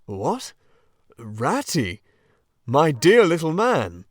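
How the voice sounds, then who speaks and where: Man voicing a gruff old storybook badger, fatherly, loud, swingy delivery. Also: medium pitch, very animated, slow, no room sound, studio quality, full character voice. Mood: warmly surprised. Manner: gruff, suspicious, then fatherly. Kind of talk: storybook reading.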